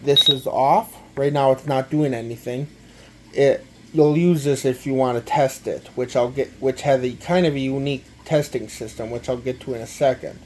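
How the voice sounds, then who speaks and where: A man gives a casual, halting, step-by-step product walkthrough, often repeating himself. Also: medium pitch, fairly even intonation, darkish tone, slight background noise. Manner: halting, repetitive, step-by-step explaining. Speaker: amateur gadget demonstrator.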